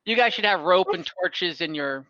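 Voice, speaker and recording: A man narrating a tabletop game as game master, casually improvising in a halting, informal way. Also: high voice, expressive, muffled recording, a loud crowd. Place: online tabletop game session from home.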